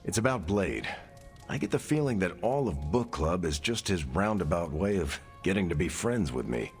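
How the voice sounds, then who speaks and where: A man's voice: deep voice, sing-song, moderate pace, soft background music. A man voicing an easygoing, sincere superhero in a video game, chatting casually with a wry touch. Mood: confiding. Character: easygoing, friendly male superhero, sincere and jokey. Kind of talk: video game cutscene acting.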